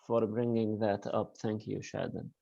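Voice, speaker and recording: A man teaches an online class at an unhurried, halting pace, his voice gravelly with fry. He stops often on fillers and urges students to take part. The call audio sounds dull and lo-fi. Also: fairly low pitch, fairly even intonation, mellow. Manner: hesitant, filler-heavy, encouraging. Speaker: university lecturer.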